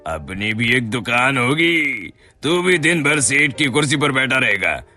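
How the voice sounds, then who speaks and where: A scheming young shop worker in a cartoon who stammers and stumbles over his words when frightened, played by a man. His voice is bright and swings widely in pitch, and his delivery is drawn out. Soft music runs under him. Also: big pitch swings, a little slow, exaggerated voice. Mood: dreamy greedy. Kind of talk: cartoon voice acting.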